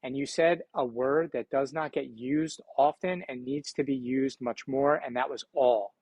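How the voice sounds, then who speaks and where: A man with a Philly accent talks as an interview guest. His voice sits at an average pitch, in a dry, close recording. He is earnest and reflective, phrasing things to motivate. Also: unhurried, a little mellow, breathy edge, no room sound. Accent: Philadelphia. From Philadelphia, United States. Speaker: podcast guest, entrepreneur. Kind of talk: conversational interview.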